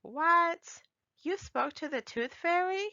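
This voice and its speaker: Seeking a woman to play an easily amazed, caring storybook mom with a bright, encouraging delivery. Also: extremely high pitch, slow, no echo, highs cut off, voice pushed up, exaggerated voice. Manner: gently encouraging, expressive.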